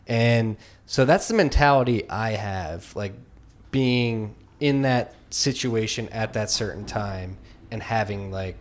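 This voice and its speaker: Laid-back young man, low voice. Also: takes it slowly, slight background noise. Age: 20s. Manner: loose, rambling, heavy use of "like". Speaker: podcast host. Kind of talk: casual conversational interview.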